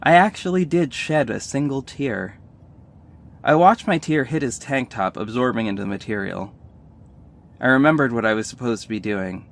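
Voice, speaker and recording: A man's voice: medium pitch, moderate pace, gravelly, close to an everyday voice. A man playing an earnest, emotional young superhero, telling his story in dramatic first-person audio drama narration. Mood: somber, guilty.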